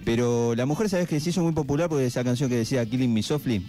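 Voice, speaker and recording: Male radio host, Argentine accent, mellow voice, casual chat, faint music behind. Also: mid-range voice, rapid-fire. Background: soft guitar music.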